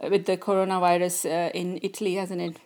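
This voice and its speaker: A woman's voice: lowish voice, flat delivery, quick pace, darkish tone. A woman hosting a podcast, interviewing a guest politely with frequent hesitations and fillers over a remote call. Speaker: podcast host.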